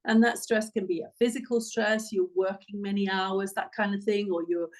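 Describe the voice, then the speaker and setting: A woman's voice: medium pitch, fairly even intonation, normal speed. A woman in her sixties with an English accent, explaining things conversationally as an expert guest. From England. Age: 60s. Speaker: guest physiotherapist and hormone expert.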